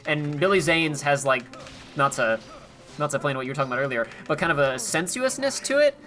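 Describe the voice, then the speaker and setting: A man's voice: a little high, animated, fast talker, sharp tone. A man co-hosting a game playthrough, chatting casually and rambling with restarts. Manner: rambling, self-correcting. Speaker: let's play co-host. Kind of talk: casual chat over gameplay. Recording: faint game music behind.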